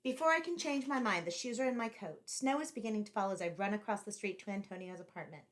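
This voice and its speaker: A woman's voice: mid-range voice, quick pace. Woman reading a children's story aloud. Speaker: teacher reading a picture book aloud. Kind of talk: expressive children's read-aloud narration.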